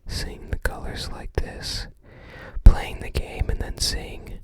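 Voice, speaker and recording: An ASMR host speaking entirely in a breathy whisper, gently musing aloud in unscripted commentary with trailing phrases. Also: slow pace. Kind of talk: ASMR, unscripted commentary while browsing a game guide book.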